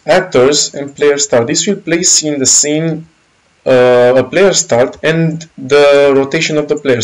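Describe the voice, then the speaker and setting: A man with a Romanian accent casually walking through a game engine's features, pausing often with fillers and correcting himself. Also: on the high side, rough recording. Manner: halting, many fillers and self-corrections. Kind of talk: casual software walkthrough.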